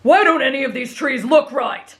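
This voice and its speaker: A woman's voice: high-pitched, moderate pace, lightly acted. A woman playing a brash, stubborn teenage boy raised in the wild, blunt and childlike, in an anime fan drama.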